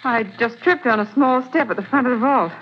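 A woman's voice: fairly high pitch, a little fast, lightly acted. An actress playing a young, inquisitive female assistant to a detective in a 1940s radio drama. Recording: dull lo-fi 1940s radio recording with hiss.

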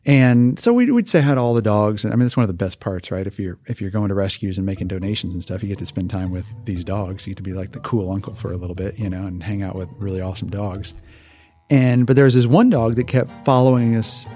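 A man from Hawaii, a podcast guest telling relaxed, casual stories and laughing easily. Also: lowish voice, fast talker, faint music behind. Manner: relaxed, laughs often, restarts words. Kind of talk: casual interview storytelling.

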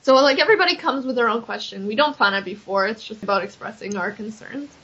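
A young woman talks through her activism in a casual, earnest way. Her voice sits a touch high and her speech is loose and full of "like." The recording sounds a little dull and lo-fi. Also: expressive, quick pace, a bit bright. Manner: earnest, chatty, frequent "like" fillers. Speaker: accessibility activist. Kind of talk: casual interview answers.

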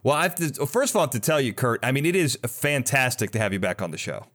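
A man's voice: medium pitch, big pitch swings, fast, fairly bright tone. A man hosting an interview podcast, effusive and conversational, with a theatrical flourish. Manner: effusive, theatrical list-like delivery in introductions. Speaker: podcast host. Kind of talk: upbeat conversational interview show.

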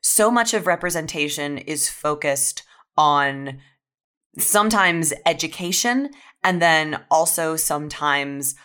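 A woman with a notably low voice that has a bright, pressing edge. She explains her writing as a podcast guest, articulate but informal, in a casual discussion. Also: takes it slowly. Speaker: podcast guest, audio fiction writer.

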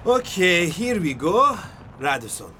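An excitable male cabbie with a high, swooping voice. He is chatty and blunt yet kindly, in an audio drama with faint background noise. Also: unhurried, full character voice. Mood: businesslike.

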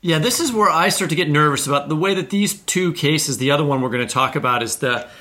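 A man's voice: a little high, expressive, fast, sharp tone. A man, co-hosting a podcast in a casual, chatty style. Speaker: podcast co-host.

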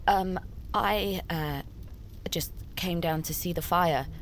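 An actress playing an anxious, apologetic niece, hesitant and stammering, in an audio drama. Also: female voice, on the low side, takes it slowly, a little hiss or hum. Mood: startled, nervous. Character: anxious, apologetic, flustered niece under pressure.

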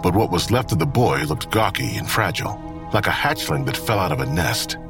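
A low-voiced male narrator for a grim crime audio drama. His reading moves expressively up and down over a music bed. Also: expressive, brisk. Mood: somber narration.

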